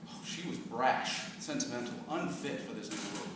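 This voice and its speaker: A man's voice: medium pitch, animated, moderate pace, natural voice. Smug seductive zombie played by a man, swooping voice. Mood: smug, menacing. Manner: theatrical, swinging from silky to gloating. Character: smug seductive male zombie cult convert, grandiose and menacing. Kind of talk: staged reading of a dark comic play. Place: live stage reading in a hall. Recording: echoey hall from far off, noisy.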